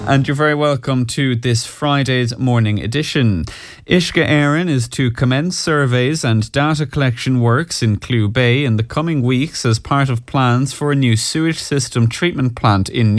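A man's voice: mid-range voice, dry recording. A man with an Irish accent reading scripted news as a radio presenter at a close studio microphone.

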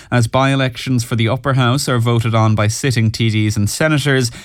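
A man's voice: mid-range voice, dry recording. A man with an Irish accent reading scripted news as a radio presenter at a close studio microphone.

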